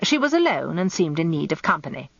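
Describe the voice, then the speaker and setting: A woman's voice: deep voice, big pitch swings, a little fast, vocal fry, fairly bright tone, no echo, full character voice. An actress with an English accent playing an observant, wry lawyer's wife turned amateur detective, confiding her story in a radio drama. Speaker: narrator. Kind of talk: first-person radio drama narration. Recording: dull lo-fi radio recording.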